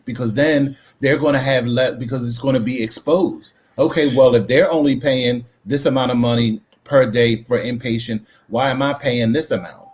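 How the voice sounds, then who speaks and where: A man's voice: medium pitch, steady tone, moderate pace, few pauses. Guy co-hosting a casual audio room, pushes his point hard, repeats words. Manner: emphatic, repeats words when making a point.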